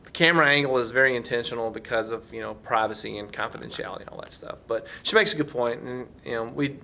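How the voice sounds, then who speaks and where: Bright-voiced middle-aged man, halting vlog talk. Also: mid-range voice, creaky, a little hiss or hum. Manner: halting, earnest, thinking aloud. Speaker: vlogger and teacher. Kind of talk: casual, reflective vlog talk to camera.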